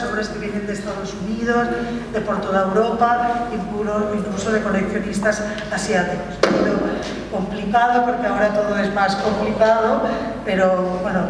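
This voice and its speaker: A woman curator gives a loose, filler-dotted speech at a press conference. Her voice comes from a distance in a big hall with long reverb. Clear room noise sits behind her. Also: medium pitch. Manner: thanking partners, relaxed with fillers. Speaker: exhibition curator. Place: large echoey museum hall.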